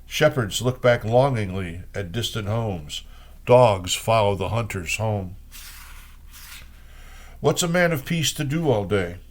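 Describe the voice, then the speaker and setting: A man reading poems aloud as host, in an unhurried way with pauses between short phrases. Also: on the low side, animated. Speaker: poetry reader and host. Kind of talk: poetry reading with brief spoken commentary.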